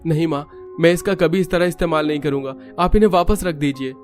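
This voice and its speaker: A man's voice: a little high, fast talker, soft background music, pitched above the performer's own voice, lightly acted. A man voicing a humble, emotional, devoted young brother in a cartoon story. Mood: pleading. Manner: earnest, protective, pleading. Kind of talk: Hindi cartoon story acting.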